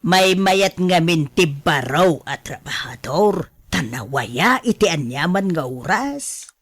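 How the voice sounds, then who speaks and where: Conniving money-minded mom, unhurried, chatty, radio drama. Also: female voice, lowish voice, expressive, slightly rough, heavily acted. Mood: scheming, amused. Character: scheming, money-minded, indulgent older mother. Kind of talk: Ilocano radio drama acting.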